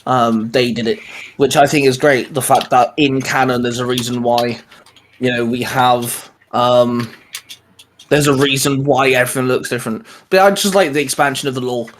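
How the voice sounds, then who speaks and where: A man's voice: medium pitch, fairly even intonation, normal speed, slight background noise. A man talking enthusiastically and in a rambling way, as a co-host in a casual, geeky fan chat. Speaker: co-host of a fan discussion show.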